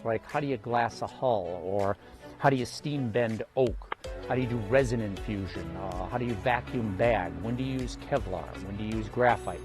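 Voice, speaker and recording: A man with a mid-pitched voice hosts a technology video, talking to his viewers in a prepared presenter's style. Music plays clearly behind his explanation. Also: darkish tone. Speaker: host of a technology project video channel.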